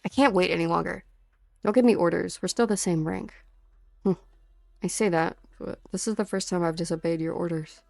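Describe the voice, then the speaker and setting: Woman acting a creaky-voiced, earnest young mercenary. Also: fairly low pitch, fairly quick, darkish tone, dry recording, clean studio sound. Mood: defiant. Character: earnest, urgent, defiant young female mercenary. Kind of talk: fan-dub game drama acting. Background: music.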